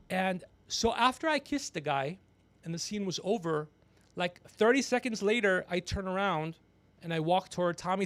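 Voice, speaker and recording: A man with a fairly high, gravelly voice hosts a loose comedic livestream. He rambles through stories and banter, blunt and profane, often needling whoever he is talking to. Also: a bit bright. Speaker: podcast livestream host.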